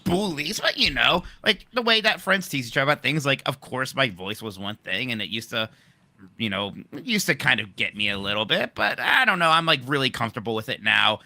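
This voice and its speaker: A man co-hosting a podcast in casual banter, with a distinctive high-pitched voice, teasing and laughing often. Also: expressive, brisk, creaky, sharp tone, no room sound. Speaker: podcast co-host.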